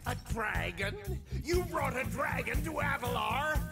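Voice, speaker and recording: An actor voicing a small, spiteful male villain in a video game, with a gravelly, sneering, theatrical menace. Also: very high voice, animated, a little slow, heavily acted. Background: game music.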